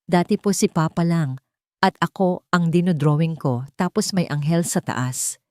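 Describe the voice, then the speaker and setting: Woman voicing a sweet, mellow little schoolgirl. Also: lowish voice, normal speed, no echo, studio quality, natural voice. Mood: sweet, happy.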